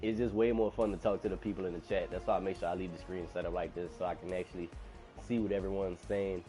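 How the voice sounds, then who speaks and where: A man's voice: deep voice, steady tone, fast talker, dark tone, soft background music. A man streaming a game live, chatting casually and rambling, his voice nasal because he is still getting over being sick. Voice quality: nasal from a cold. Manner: chatty, rambling, complains about rude players. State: recovering from illness. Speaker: gaming streamer.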